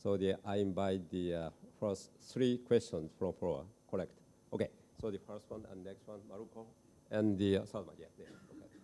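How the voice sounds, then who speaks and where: Very dark-voiced, halting male moderator. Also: low voice. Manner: halting, fragmented English. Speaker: session moderator. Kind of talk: unscripted chairing of a panel.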